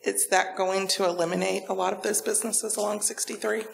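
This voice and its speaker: A woman in the audience asking questions, loud and chatty, in a meeting room. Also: medium pitch, little pitch movement, fairly quick, gravelly, a little hiss or hum. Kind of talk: casual questions.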